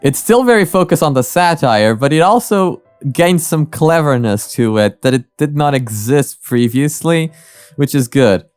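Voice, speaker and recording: A man giving casual, opinionated reaction commentary in a halting, rambling way. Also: fairly high pitch, lively intonation, clean tone, no echo, soft background music. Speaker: reaction video host.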